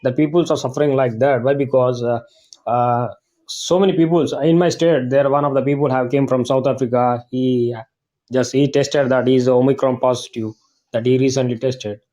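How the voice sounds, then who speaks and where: A man calls in to a talk show and speaks haltingly, with many pauses. His grammar is loose, and his voice has a mellow, relaxed tone. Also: medium pitch, low-quality recording. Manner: halting, with frequent "uh" and nonstandard grammar. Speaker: caller. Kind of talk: casual call-in chat.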